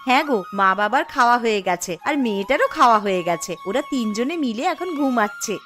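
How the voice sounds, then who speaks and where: A high-voiced village wife played by a woman in a cartoon tale. She is sharp-tongued yet caring, speaking briskly and expressively with a scolding edge, over music. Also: expressive. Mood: attentive, surprised. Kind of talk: cartoon dialogue.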